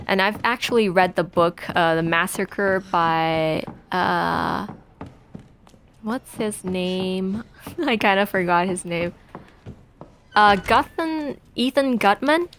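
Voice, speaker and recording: A woman in her twenties from Taiwan, chatting and telling stories while playing a video game, rambling with many pauses. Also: on the low side, a bit bright, a little hiss or hum. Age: 20s. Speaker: let's play gamer.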